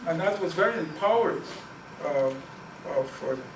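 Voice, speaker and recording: A man's voice: fairly high pitch, expressive, normal speed. Earnest man in an echoey lecture room. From Guyana. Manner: earnest, repeats words while thinking. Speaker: guest speaker on Caribbean languages. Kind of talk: academic talk and Q&A. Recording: echoey room, distant mic, noisy.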